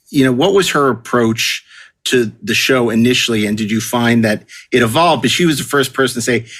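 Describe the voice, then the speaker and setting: A man speaks at a medium pitch as the host of a podcast. He frames his questions conversationally, as an interviewer talking with guests. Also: a bit bright.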